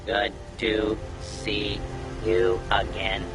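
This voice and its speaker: Creaky robot bartender. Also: male voice, fairly low pitch, completely flat delivery, drawn-out words, loud music behind the voice, full character voice. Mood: cheery. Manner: folksy sales patter, corny jokes. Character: jolly male robot bartender.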